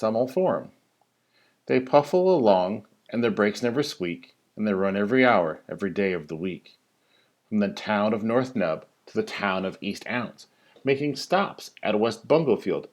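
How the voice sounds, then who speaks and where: Animated, unhurried man reading a children's story. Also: on the low side. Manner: theatrical, singsong rhyming delivery with drawn-out emphasis. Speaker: teacher reading a story aloud. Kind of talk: expressive children's picture-book read-aloud.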